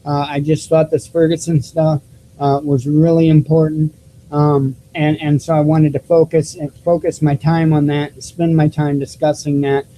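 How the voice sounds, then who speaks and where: Squeaky-voiced man rambling to his viewers. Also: level tone, normal speed, mellow, slight background noise, rough recording. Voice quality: squeaky voice, self-described. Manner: rambling, many restarts and fillers. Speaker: YouTube news channel host. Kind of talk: casual vlog talk to viewers. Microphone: cheap desktop microphone.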